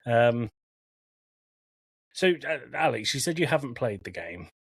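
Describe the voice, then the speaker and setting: A man's voice: mid-range voice, big pitch swings, measured pace, slightly rough, no echo. Jokey man hosting a podcast, dry close sound. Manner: jokey asides, profane. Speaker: podcast host. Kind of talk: informal film and game discussion, recapping from notes.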